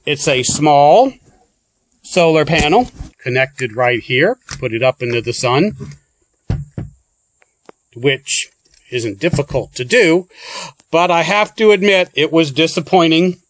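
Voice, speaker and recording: Higher-pitched man reviewing a product. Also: lively intonation, takes it slowly, long pauses. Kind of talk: informal product review walkthrough.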